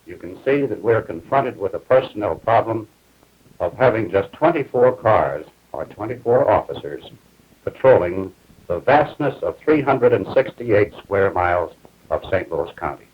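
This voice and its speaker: Muffled, husky, lowish-voiced 1950s police official. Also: male voice, animated, normal speed. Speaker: police major briefing reporters. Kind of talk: formal explanatory briefing. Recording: muffled 1950s film soundtrack.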